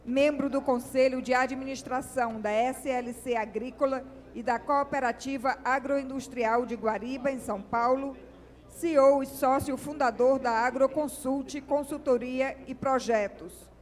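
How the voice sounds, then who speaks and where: A woman presenting at a conference, reading a formal speaker introduction in a measured way. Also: high-pitched, fairly even intonation, darkish tone, in a large hall. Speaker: event presenter.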